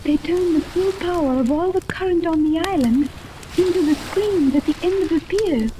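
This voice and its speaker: A woman's voice: very high voice, moderate pace. A woman playing an anxious, tender-hearted captive heroine in a 1930s radio serial, speaking with earnest, theatrical worry. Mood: distressed. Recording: noisy vintage 1930s radio recording.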